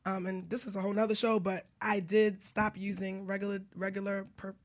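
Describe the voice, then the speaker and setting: A woman's voice: medium pitch, flat delivery, brisk. A woman radio host talks in a casual, candid manner. She interviews in an informal, chatty style, as if in conversation with people she knows.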